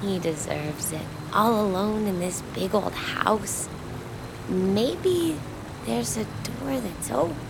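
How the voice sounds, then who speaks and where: An actress playing a hesitant woman visitor in a radio drama, with an expressive, halting delivery. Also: medium pitch, vocal fry. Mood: friendly, hopeful. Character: friendly, hesitant woman visitor, flirtatious then frightened. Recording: outdoor ambience noise behind voice.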